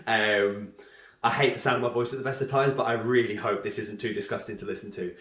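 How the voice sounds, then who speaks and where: A man's voice: lowish voice, fairly even intonation, brisk, fairly bright tone. A man with a head cold, teaching anatomy at a board and often checking in with a questioning "yeah?". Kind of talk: whiteboard anatomy lesson. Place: room with a drawing board. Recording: echoey room.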